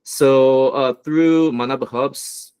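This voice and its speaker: A man from Los Angeles giving an online business presentation as a guest mentor, with a relaxed delivery and many fillers. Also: mid-range voice, slow, clean tone. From United States, Los Angeles.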